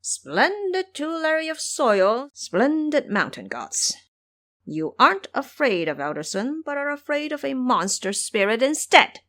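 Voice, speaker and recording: A woman's voice: high-pitched, very animated, measured pace, fairly bright tone, dry recording, pitched above the performer's own voice, full character voice. Woman voicing Sun Wukong, theatrical Monkey King, wildly swooping. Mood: imperious, taunting. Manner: declamatory, theatrical. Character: Monkey King, proud, aggrieved, hot-tempered male trickster immortal.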